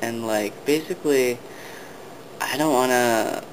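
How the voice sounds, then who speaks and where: A man's voice: mid-range voice, slow pace, rough recording. A young man talking casually to the camera, hesitant and full of ums and restarts. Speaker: vlogger. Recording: steady background noise.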